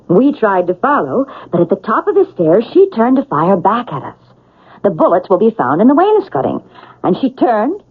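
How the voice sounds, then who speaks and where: A woman's voice: medium pitch, husky. An actress in a radio drama playing a cold, scheming, sardonic woman with a theatrical, calculating manner. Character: cold scheming housekeeper's daughter plotting murder, sardonic woman. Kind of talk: 1970s radio drama acting. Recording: muffled, lo-fi old radio broadcast.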